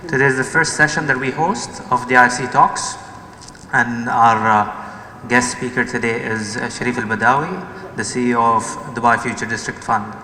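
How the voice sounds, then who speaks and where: The male moderator opening an afternoon session at a business event, halting a little, his bright voice bouncing around the hall. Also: mid-range voice, steady tone, a little hiss or hum. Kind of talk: welcoming event introduction. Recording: echoey hall with music behind.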